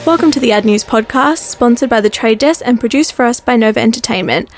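A woman hosting a podcast interview, friendly and quick to laugh between questions. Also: on the high side, faint music behind. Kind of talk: casual interview chat.

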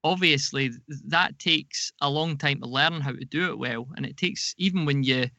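A man talks through his points as an interview guest, in a creaky voice with a crisp, bright edge. The sound is close and dry. He pauses and rephrases as he explains. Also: a little high, no echo. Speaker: podcast guest, audio drama producer.

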